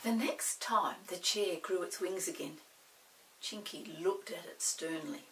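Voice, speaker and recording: A woman reading a children's story in an expressive, measured way. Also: fairly low pitch, recorded from a distance, a little hiss or hum, poor audio. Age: 60s. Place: small indoor set with dark backdrop.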